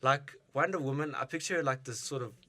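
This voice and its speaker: A man's voice: medium pitch, fast, pushed and bright. A man co-hosting a radio movie show, musing aloud in casual chat with stumbles and repeated words.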